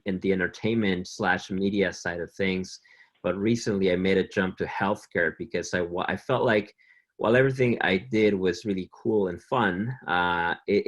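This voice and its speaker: A man from Mexico City, speaking politely and plainly as a panelist in a webinar. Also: sits low. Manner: polite and straightforward. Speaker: panelist, tech executive.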